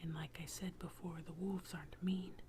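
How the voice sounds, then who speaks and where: A woman telling an ASMR bedtime story in a hushed, breathy whisper, close to the microphone. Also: fairly low pitch, flat delivery, normal speed, noisy background.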